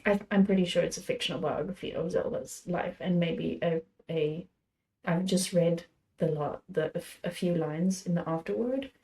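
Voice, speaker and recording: A young woman hosting her book channel, chatting about what she plans to read next in a rambling, self-correcting way, her voice a little hoarse from being sick. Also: fairly low pitch, normal speed, dark tone, low-quality recording. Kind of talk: casual chat about books.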